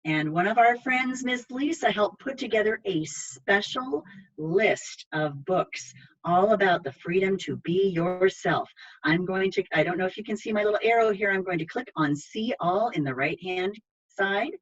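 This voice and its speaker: A woman's voice: mid-range voice, expressive, normal speed. A woman hosting an online children's library program, chatty and polite as she reads and explains, heard over a video call. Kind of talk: reading aloud and guiding viewers through an online library program. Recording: dull, lo-fi video call audio.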